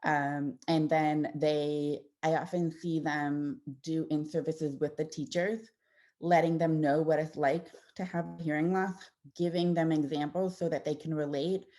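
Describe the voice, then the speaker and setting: Woman audiologist, thirties, low mellow voice, easygoing slide-talk delivery with fillers and restarts. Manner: conversational, warm explanations with frequent fillers and restarts. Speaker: audiologist presenting to parents. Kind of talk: informal presentation with slides.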